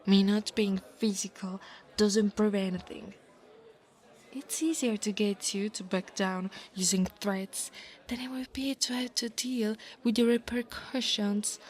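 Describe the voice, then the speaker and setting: A woman's voice: mid-range voice, lightly acted. A woman voicing an insecure, defensive young dragon student bully in an ASMR roleplay, haughty and flustered, stammering and often half-whispering. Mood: threatening, scornful. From Italy. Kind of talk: ASMR monster roleplay acting.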